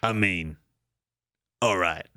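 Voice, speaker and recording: A man playing a bemused veteran roadie who acts as a deadpan, mock-shocked straight man in an improvised comedy bit. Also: low voice, slow, clear, resonant tone, dry recording, studio quality, heavily acted. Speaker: podcast host.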